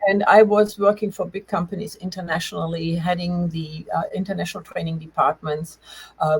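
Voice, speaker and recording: A middle-aged woman with a German accent and a slightly husky voice, telling her personal story as an interview guest in a halting, emphatic way. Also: low-pitched, a little hiss or hum, poor audio. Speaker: interview guest, change coach. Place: remote video call.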